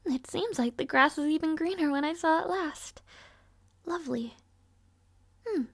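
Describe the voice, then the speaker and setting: A woman's voice: sits high, slow, no echo. A woman playing a rude, arrogant but somewhat shy young princess in an audio roleplay, speaking in a formal, languid, world-weary way. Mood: languid, bored. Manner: haughty, languid, sighing, formal wording. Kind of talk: scripted audio roleplay acting, addressing the listener. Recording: close, dry, no ambience.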